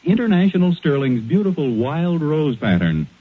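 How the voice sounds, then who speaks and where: A man's voice: fairly high pitch, big pitch swings, moderate pace. An American man announcing on 1940s radio in a polished, ornate and emphatic sales style, on a tinny old recording.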